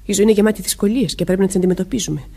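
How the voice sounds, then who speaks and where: An actress playing an anxious young married woman in a radio murder mystery, earnest and halting, with dramatic delivery and a husky voice. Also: medium pitch, dark tone. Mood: earnest, firm. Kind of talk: Greek radio theatre acting.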